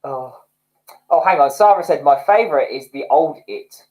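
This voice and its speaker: A man's voice: on the high side, lively intonation, normal speed, darkish tone, rough recording. A man hosting a casual livestream from home, reading viewer comments, chatty and self-mocking and often laughing. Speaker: livestream host. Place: home, indoors.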